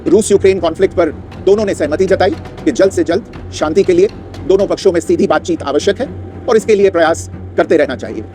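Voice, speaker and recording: A man with a fairly high voice reads an official statement. His delivery is formal and measured, with deliberate emphasis on key phrases. Faint music plays underneath. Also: a little mellow. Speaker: official spokesman reading a statement.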